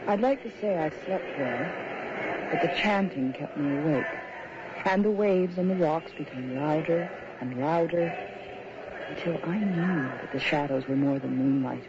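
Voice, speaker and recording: A woman's voice: low-pitched, a little slow. An actress playing a sensitive, imaginative young woman writer in a radio drama, with an uneasy manner. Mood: brooding. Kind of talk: radio drama acting with first-person narration. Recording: muffled, noisy old radio drama recording.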